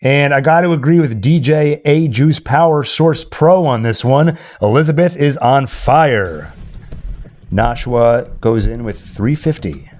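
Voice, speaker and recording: Animated man hosting casual trivia. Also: medium pitch, measured pace. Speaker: host and trivia quizmaster. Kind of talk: casual livestream chat and quiz hosting.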